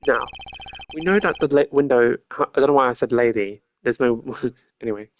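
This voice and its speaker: A game streamer with a mid-pitched voice reading visual novel dialogue aloud. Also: normal speed, a little hiss or hum. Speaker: streamer voicing a video game playthrough. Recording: game music behind the voice.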